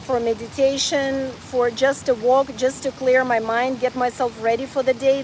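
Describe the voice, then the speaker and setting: A high-pitched woman in her forties talks eagerly while strolling outdoors, her phone picking up a faint hum of traffic behind her. She chats to a live audience and often doubles back on her words. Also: poor audio. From Ukraine, raised in North Carolina. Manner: enthusiastic, chatty, repeats words as she talks. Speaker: travel vlogger. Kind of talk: live-streamed walking travel chat. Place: outdoors in a city park and square.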